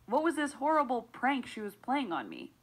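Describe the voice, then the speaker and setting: A woman playing an easily embarrassed, lovestruck teenage schoolgirl in confiding animated story narration, played back from a laptop. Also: sits high, moderate pace, exaggerated voice. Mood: anxious. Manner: confiding, self-deprecating. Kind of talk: scripted first-person animated story narration.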